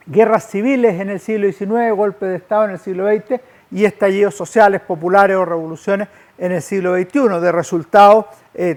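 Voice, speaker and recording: A man delivers a public lecture. His manner is didactic and measured. He uses formal phrasing as he explains things to his listeners. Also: high-pitched. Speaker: lecturer, historian. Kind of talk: public lecture on history, with slides.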